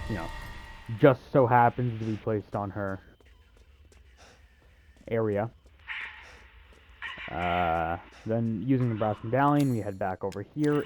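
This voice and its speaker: A man's voice: fairly low pitch, a little mellow, a little hiss or hum. A man giving a casual video game walkthrough in an offhand way, with wry asides. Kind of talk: casual gameplay walkthrough commentary.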